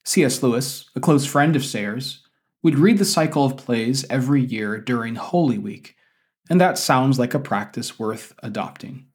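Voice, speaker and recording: A man speaks as a podcast host at a middle pitch. His phrasing is measured and articulate, like a teacher introducing a subject and guiding a conversation. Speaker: university teacher. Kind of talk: scripted podcast introduction, then conversational interviewing.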